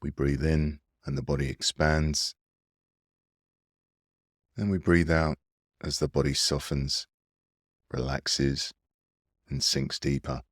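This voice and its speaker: An extremely low-voiced man narrates a guided breathing meditation. He speaks slowly, with a soothing and gentle manner of instruction. The sound is dry and close to the microphone. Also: fairly even intonation, no echo. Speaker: meditation guide and mindfulness coach.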